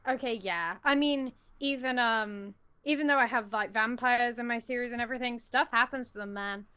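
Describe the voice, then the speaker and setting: High-ish voiced woman laughing a lot while chatting on stream, kinda muffled lo-fi audio. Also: normal speed, pushed and bright, smooth. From born in the United States, lives in England. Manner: chatty, giggly, lots of fillers. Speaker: co-host. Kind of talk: casual stream chat.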